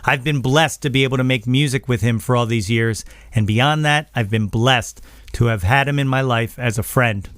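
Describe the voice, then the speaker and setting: A man's voice: mid-range voice, normal speed, a bit bright, no room sound. A middle-aged American man hosting a podcast, effusive and affectionate, moving between scripted introduction and casual interview chat. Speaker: podcast host.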